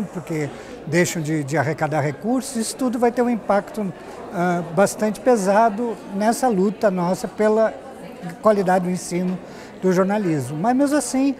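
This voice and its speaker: A man's voice: high voice, a little mellow. Brazilian man in his sixties, explaining. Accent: Brazilian Portuguese. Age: 60s. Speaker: interview guest, journalism professor. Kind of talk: conversational interview answers. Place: glass-walled lobby set, seated interview.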